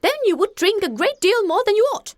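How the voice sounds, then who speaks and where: A woman voicing a fussy, excitable middle-aged mother in an audiobook, gossipy and indignant, with emphatic exclamations. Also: very high voice, brisk, no room sound, pitched above the performer's own voice. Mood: scolding. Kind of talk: audiobook character voice.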